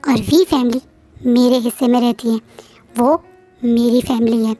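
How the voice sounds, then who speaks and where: A woman doing a defiant, forceful man. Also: on the high side, fairly even intonation, a little slow, soft background music. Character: defiant man claiming his family share.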